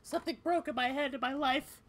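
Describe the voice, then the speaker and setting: A woman voicing a troubled, frightened young female cat in video game dialogue, speaking haltingly. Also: sits high, fairly bright tone, slight background noise, voice pushed up. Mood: anxious, confessional.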